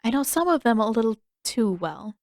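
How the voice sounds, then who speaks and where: A woman's voice: on the high side, very animated, moderate pace, a little mellow, no echo. A woman voicing a stern, fierce teenage warrior girl, firm and terse, in a story reading. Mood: weary. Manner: firm, terse, rule-bound.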